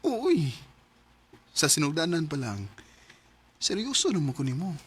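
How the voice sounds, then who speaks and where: An excitable young man with a Cebuano accent, his bright voice swinging widely in pitch. He is an eager, affectionate boyfriend in a radio drama. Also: measured pace, slightly rough, heavily acted. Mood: wooing.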